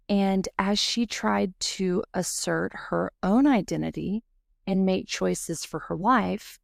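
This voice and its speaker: A woman co-hosting a podcast, reading a true-crime story in a slow, measured, somber way. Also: lowish voice, gravelly, a little mellow, no echo, clean studio sound. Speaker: podcast co-host. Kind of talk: scripted true-crime narration.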